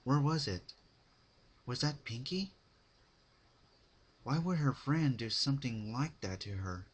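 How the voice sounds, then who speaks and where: A man giving a dramatic story narration, with deliberate, halting phrasing. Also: mid-range voice, lively intonation, slightly rough.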